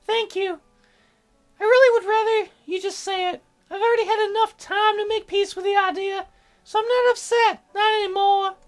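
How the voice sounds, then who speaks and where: A man's voice: extremely high pitch, fairly even intonation, moderate pace, faint music behind, pitched above the performer's own voice. A man voicing a teasing, wistful, stubborn young sick girl in a visual novel, with a hesitant, pleading way of talking. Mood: frank, wistful.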